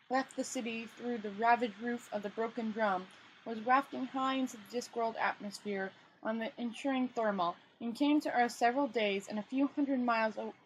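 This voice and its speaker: A woman's voice: on the high side, a little hiss or hum, poor audio. A woman reading a novel aloud in an informal amateur way, stumbling over words and adding casual asides.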